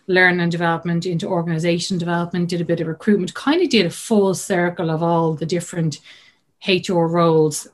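A woman's voice: on the low side, fairly even intonation, fairly quick. A woman in her thirties with a Dublin accent, chatting casually as an interview guest and acting out remembered conversations. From Ireland. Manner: chatty, many fillers, acts out quoted dialogue. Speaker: guest coach.

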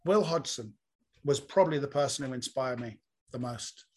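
A man's voice: mid-range voice, moderate pace, breathy edge. A man, a comedian being interviewed, talking in a reflective, rambling storytelling way. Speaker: comedian guest. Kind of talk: casual interview chat.

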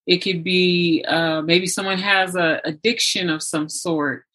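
A woman's voice: lowish voice, fairly even intonation, unhurried, pushed and bright. A woman hosting a conversational interview, speaking in an earnest, encouraging way. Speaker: interview host.

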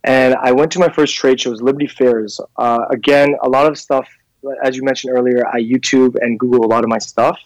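A man's voice: mid-range voice, fairly even intonation, a little fast, dry recording, low-quality recording. A man in his thirties from Canada, a clothing brand founder, telling his story in a casual interview with many fillers and restarts. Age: 30s.

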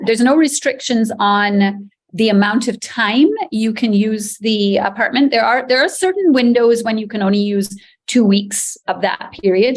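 A woman in her forties, a real estate agent, answering interview questions in a chatty way with frequent ums, on a video call from a beachfront apartment. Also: mid-range voice. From Barbados.

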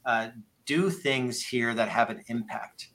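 Bright-voiced man of fifty hosting a podcast. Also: medium pitch, slow pace. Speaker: podcast host. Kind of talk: casual conversational podcast interview.

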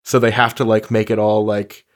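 A man's voice: fairly low pitch, flat delivery, normal speed. A man hosting a conversational interview, speaking in a wordy, bookish way. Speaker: podcast host, interviewer.

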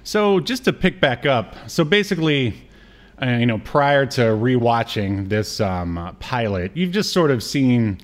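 A man's voice: medium pitch, big pitch swings, a little slow, slight background noise. A man co-hosting a podcast, chatting casually about a TV show with joking, wry asides. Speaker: podcast co-host.

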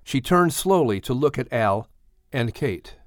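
A man's voice: mid-range voice, expressive, a little slow, no echo, studio quality. A man reading a dramatic story as an audiobook narrator in a scripted storytelling style.

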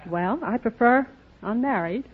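A woman's voice: on the high side, lively intonation, measured pace, breathy edge, phone-quality sound. A woman from Texas answering a quiz host's questions as a contestant on an old radio show. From Texas, United States. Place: radio studio before an audience. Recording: thin, very noisy old radio recording.

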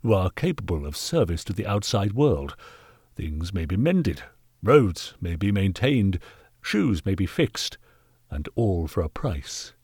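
Man acting a pompous, husky Victorian knight, contemptuous. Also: low-pitched, very animated, unhurried, a little mellow, no room sound, clean studio sound, heavily acted. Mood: pompous, calculating. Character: haughty, callous, bullying Victorian gentleman knight, angry benefactor. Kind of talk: dramatised audiobook character reading.

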